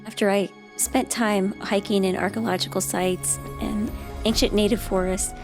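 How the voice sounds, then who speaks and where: A woman narrating a vlog in an earnest, steady way, her voice raspy and hoarse from losing it. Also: medium pitch, normal speed, music in the background. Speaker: video host.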